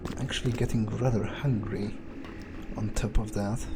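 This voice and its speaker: A man's voice: on the low side, fairly even intonation, normal speed, heavy background noise. A man thinking aloud in character as a brooding, grumbling vampire during a game playthrough, hesitant and prone to swearing. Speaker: let's player.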